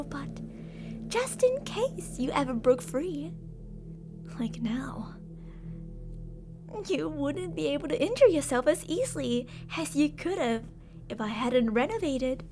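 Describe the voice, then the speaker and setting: A woman's voice: sits high, lively intonation, a little slow, a little mellow, soft background music. A woman playing an obsessive yandere captor in an ASMR roleplay, sugary with endearments yet menacing and theatrical. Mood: softly menacing.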